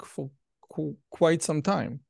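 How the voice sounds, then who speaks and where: Slow-talking host, dry sound. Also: male voice, a little high, gravelly, slightly rough, no room sound. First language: Hebrew. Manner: slow, hesitant, many fillers, enthusiastic laughter. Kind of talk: casual interview.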